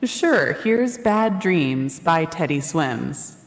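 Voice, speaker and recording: A synthetic female voice of an AI assistant, even and polite as it gives information. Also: deep voice, very slow. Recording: long hall reverb.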